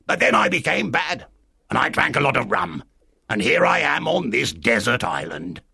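A man voicing a half-crazy marooned old sailor in a storybook, with a strange, excitable, eager and wheedling way of talking. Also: mid-range voice, gravelly, husky, dry recording, clean studio sound, voice pushed up, full character voice. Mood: excited, secretive. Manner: strange-voiced, excitable, drawn-out emphatic phrases. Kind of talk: dramatised storybook reading.

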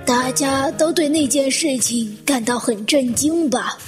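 An actress playing a polite, modest elderly widow in a radio drama. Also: female voice, high voice, unhurried. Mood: courteous, inquiring. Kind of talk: Chinese radio drama acting. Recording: long reverb, music underneath.

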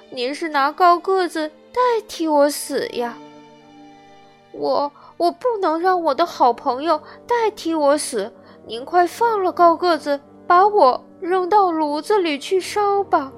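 A woman's voice: very high voice, lively intonation, takes it slowly, faint music behind, voice pushed up, exaggerated voice. A woman voicing a naive, earnest little wooden puppet boy in a children's storybook, stammering and pleading. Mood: brave, earnest.

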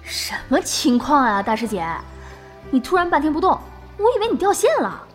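An actress playing a lively, gossipy young woman in an online game drama, exclamatory and teasing. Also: high voice, normal speed. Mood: startled. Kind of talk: modern audio drama acting. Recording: music behind the voice.

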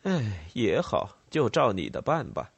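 Male reader as a bluff rebel leader, husky, commanding, swaggering, swooping intonation, audiobook storytelling. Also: medium pitch, wildly swooping pitch, a little slow, no echo, full character voice. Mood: bluff, relenting.

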